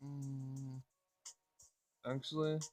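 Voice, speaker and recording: A man doing a casual game playthrough, reading dialogue aloud and thinking out loud in informal, slangy language. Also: medium pitch, little pitch movement, drawn-out words, soft background music. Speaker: let's play gamer.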